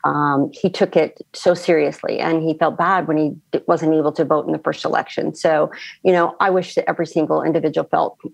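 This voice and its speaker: Low, creaky-voiced middle-aged Midwestern woman. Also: steady tone, brisk, no room sound, low-quality recording. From American Midwest. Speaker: former election official, interview guest. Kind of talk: conversational interview.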